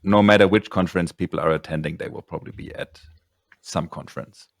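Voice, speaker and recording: A man's voice: deep voice, steady tone, brisk, vocal fry, no echo, studio quality. A man hosting a podcast interview, chatting casually and rambling through his points. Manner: rambling, with many ums and restarts. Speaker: podcast host.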